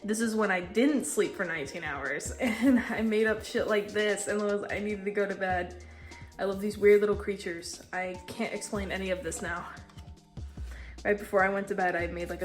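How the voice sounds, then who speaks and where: A young woman with a congested, stuffy voice, casually touring her sketchbook in a tired, low-key way and swearing now and then. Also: fairly even intonation, normal speed, soft background music. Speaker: artist showing a sketchbook.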